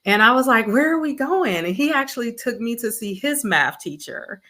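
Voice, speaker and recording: Earnest woman from mississippi, school admin, bright voice, lots of ums, video call. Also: on the high side, animated, moderate pace. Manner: warm, earnest storytelling with fillers. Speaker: school district chief academic officer. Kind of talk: interview guest.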